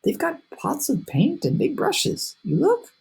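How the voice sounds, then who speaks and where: A woman's voice: high-pitched, huge pitch swings, a little slow, gravelly, a little mellow, voice pushed up, heavily acted. A woman voicing a young boy hero in a storybook, calling out eagerly and urgently. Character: small brave boy hero.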